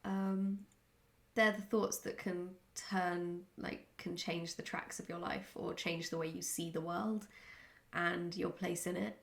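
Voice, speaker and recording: A woman chatting casually in a question-and-answer vlog, speaking in a candid, reflective and somewhat halting way. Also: on the low side, fairly even intonation, gravelly. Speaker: writer answering follower questions.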